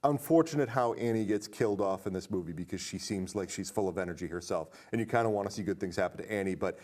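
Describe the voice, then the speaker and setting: A man's voice: sits low, fast talker, a little mellow. A man hosting a casual film discussion, talking in a rambling way full of asides and questions. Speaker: podcast host.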